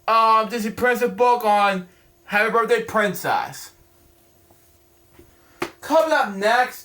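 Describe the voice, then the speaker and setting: A young man with a noticeably high voice hosts a homemade bedtime story series. He speaks casually, moving between reading and chatting. His delivery is halting and rambling, with phrases often repeated. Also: a bit bright. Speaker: host of a read-aloud bedtime story series. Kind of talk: casual read-aloud and chatty vlog.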